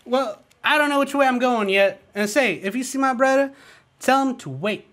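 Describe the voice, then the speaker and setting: A wisecracking assistant, acted by a man, his voice sitting very high as he bends every word into a joke. He sounds quick and playful, straight out of an old radio comedy. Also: a bit bright. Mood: cheeky. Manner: quick, playful, twists words. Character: scheming wisecracking Italian immigrant assistant, man. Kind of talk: radio play acting.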